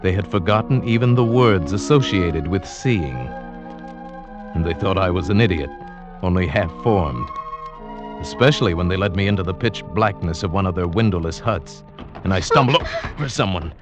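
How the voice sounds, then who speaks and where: A deep male voice plays a self-assured mountaineer. He tells his adventure eagerly and theatrically, as in an old radio play. Music runs behind the vintage broadcast. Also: lively intonation, moderate pace. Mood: wry. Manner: theatrical, dramatic storytelling. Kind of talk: vintage radio drama acting, narration and dialogue.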